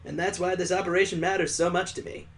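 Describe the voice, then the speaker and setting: A man's voice: high-pitched, flat delivery, fast talker, fairly bright tone. A man voicing a jovial, sentimental old father-in-law, hearty and earnest, in a visual novel read aloud.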